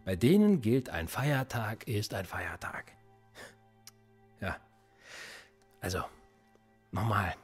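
An actor playing a sardonic, probing police interrogator in a naturalistic radio drama, speaking in a deliberate, controlled way. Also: male voice, lowish voice, big pitch swings, husky, faint music behind, exaggerated voice. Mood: dryly ironic. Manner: deliberate, probing, dryly ironic.

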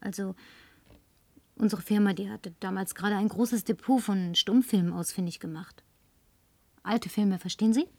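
An actress in a German radio play playing a flirtatious, tipsy, insistent career woman with coquettish, languid phrasing and breathy murmurs. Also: medium pitch, normal speed, pauses often, darkish tone, no echo, natural voice. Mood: confiding.

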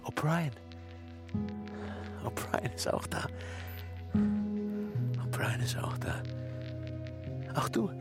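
An actor playing a tormented, broken prisoner in a radio drama, pleading and muttering in fragmented repetitions between whispers and outcries. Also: male voice, on the high side, very animated, extremely slow, pauses often, loud music behind the voice, exaggerated voice. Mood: delirious, manic. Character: tormented male prisoner under torture, broken, delirious, pleading. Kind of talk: German radio drama acting.

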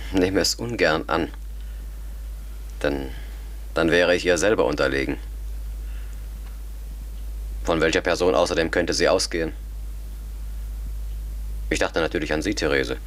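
An actor playing a sceptical, methodical educated man who reasons formally and deliberately in a German radio drama. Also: extremely low pitch, a bit bright. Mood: pondering.

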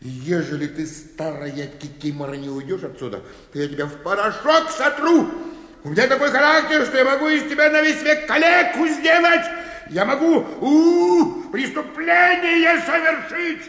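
High-voiced irascible clerk. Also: male voice, moderate pace, pushed and bright. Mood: furious threatening. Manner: spluttering, repetitive, irritable. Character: irascible, exasperated male bank clerk, grouchy and threatening. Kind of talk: comic radio play acting. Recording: roomy, reverberant radio drama recording.

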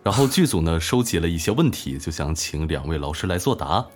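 A man speaks in a deep voice with lots of melodic movement. He is polite and chatty, repeating phrases, with music in the background. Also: very animated, a little fast. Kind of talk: casual chat. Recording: music behind the voice.

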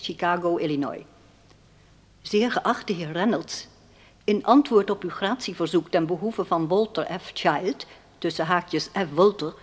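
An actress playing a governor's secretary in a radio drama, reading out an official letter in a formal, businesslike manner. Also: female voice, on the low side, natural voice.

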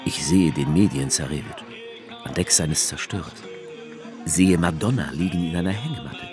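An actor playing a brooding, sardonic author who narrates a radio play in the first person. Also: male voice, deep voice, animated, normal speed, music in the background. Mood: observing, sardonic. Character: brooding male narrator-author, sensual, darkly sardonic witness.

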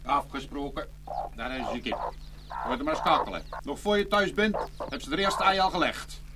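A man's voice: fairly high pitch, normal speed, heavy background noise, lightly acted. A man playing a plain-spoken, obliging country tradesman in a children's fairy-tale audio play, folksy in manner. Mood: agreeable.

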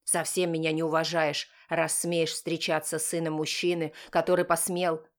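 A woman's voice: sits low, level tone, moderate pace, natural voice. A woman voicing a stern, wounded father, curt and firm, in an audiobook drama. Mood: stern, bitter. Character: stern, wounded, authoritative father of a teenage girl.

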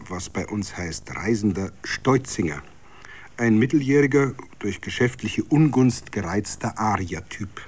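A man's voice: lowish voice, husky. Bavarian man reading a literary story. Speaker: story reader. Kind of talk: dramatic literary narration.

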